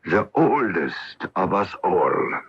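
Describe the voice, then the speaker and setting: An actor playing an ancient, courteous man of a far-future world in a radio drama, speaking in measured, formal phrases. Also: deep voice, sing-song, breathy edge, full character voice. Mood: courteous, solemn. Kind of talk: 1980s radio drama acting. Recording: dull lo-fi AM radio broadcast.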